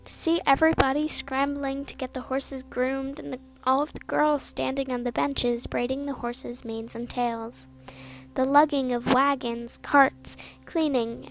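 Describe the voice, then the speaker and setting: A woman's voice: high-pitched, poor audio. A woman narrating a written tribute in a sincere, plain reading style. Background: faint background music.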